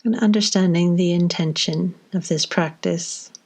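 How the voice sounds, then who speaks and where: A woman leading a guided body scan meditation, giving slow, gentle instructions with long pauses between phrases. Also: on the low side, fairly even intonation, breathy edge.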